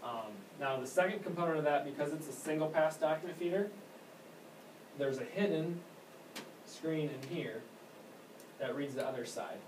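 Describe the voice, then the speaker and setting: A man leads a casual hands-on training session as a technician. He explains things haltingly, in an everyday way, and tends to trail off. The recording is distant and echoey, with clear noise behind him. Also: a little high. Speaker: copier technician giving staff training. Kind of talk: informal hands-on product training. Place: indoor room at an elementary school.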